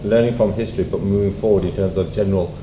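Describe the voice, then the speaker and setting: A man making measured, courteous comments at a community event in fairly formal wording. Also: low-pitched, steady tone. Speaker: event speaker. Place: echoey room at a public event. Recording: dull, echoey, noisy room recording.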